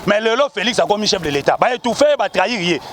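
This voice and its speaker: Fast, high-voiced man arguing politics. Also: expressive, fairly bright tone, a little hiss or hum. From Kongo Central, DR Congo. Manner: emphatic, repetitive, rallying. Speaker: party supporter interviewed on the street. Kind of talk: impassioned political argument.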